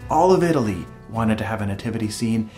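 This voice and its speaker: A man's voice: lowish voice, expressive, mellow, soft background music. Earnest man hosting devotional videos. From El Salvador. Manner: earnest, reverent, unhurried. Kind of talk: devotional storytelling and reading aloud.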